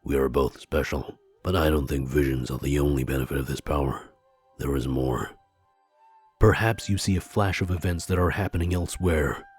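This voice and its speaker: An actor playing a solemn, conspiratorial mystic seer in a visual novel game, speaking gravely, deliberately and formally. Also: male voice, very deep voice, heavily acted. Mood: solemn, persuasive. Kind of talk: scripted visual novel character dialogue. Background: background music.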